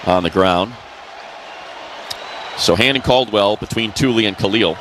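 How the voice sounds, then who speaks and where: Low-voiced male football play-by-play announcer, noisy. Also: unhurried, a bit bright. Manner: clipped phrases narrating each play as it happens. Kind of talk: live radio sports commentary. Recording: noisy live stadium broadcast.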